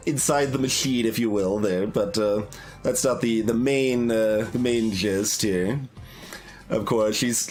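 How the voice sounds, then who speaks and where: A man giving casual, analytical game commentary, thinking aloud in a hesitant way. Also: mid-range voice. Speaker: video game streamer. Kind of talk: casual analytical live playthrough commentary with poem read aloud. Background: music.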